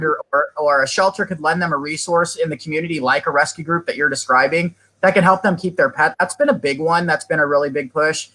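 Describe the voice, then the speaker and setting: A man with a bright, forceful tone and a slightly higher pitch explains shelter work in an earnest, plain-spoken way. He lays things out point by point and now and then calls his listener "man". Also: low-quality recording. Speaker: animal shelter expert guest. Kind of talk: casual interview answers.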